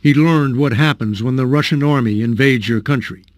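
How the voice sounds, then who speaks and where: Male news correspondent, crisp delivery. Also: medium pitch, moderate pace, dry recording, studio quality. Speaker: television correspondent. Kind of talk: news report narration and interview questions.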